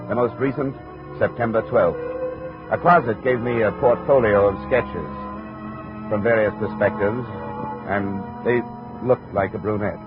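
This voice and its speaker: Actor as a low-voiced, dry American radio detective. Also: male voice, lightly acted. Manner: clipped, matter-of-fact. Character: dry, businesslike male private investigator narrating his case. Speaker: lead actor and narrator. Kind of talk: 1950s radio detective acting, scripted narration. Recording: muffled, tinny old radio recording, music behind.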